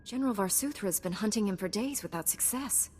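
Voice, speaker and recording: An actress playing a calm, earnest young Jedi knight in a video game, composed and firm. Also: female voice, medium pitch, a little fast, slight background noise, natural voice. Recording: reverberant hall with music behind.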